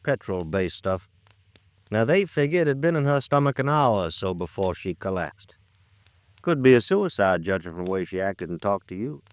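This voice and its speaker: An actor playing a world-weary, plain-talking police lieutenant in an old-time radio detective drama, blunt and colloquial. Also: male voice, on the low side, sing-song, moderate pace, no echo. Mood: matter-of-fact.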